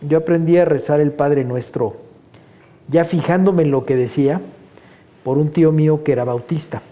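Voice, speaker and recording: Male guest, 60s, Mexican accent, very dark voice, conversational with frequent fillers. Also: fairly high pitch, normal speed. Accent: Mexican Spanish. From Honduras. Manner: conversational, opinionated, many fillers like "este". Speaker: guest painter. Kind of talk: interview answers.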